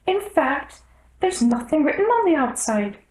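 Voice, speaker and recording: A woman's voice: high voice, very animated, unhurried, a little mellow, roomy sound, voice pushed up. A woman voicing a nervous, deferential male rabbit courtier in a storybook reading, in a fussy, sing-song manner. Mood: fussy, puzzled.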